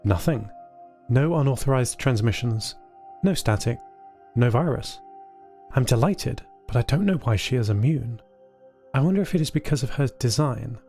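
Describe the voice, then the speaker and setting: Male storyteller, measured, over music. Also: medium pitch, expressive, warm tone. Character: thoughtful, caring artificial intelligence built for communication. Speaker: narrator of an audio fiction podcast. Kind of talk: scripted first-person story narration.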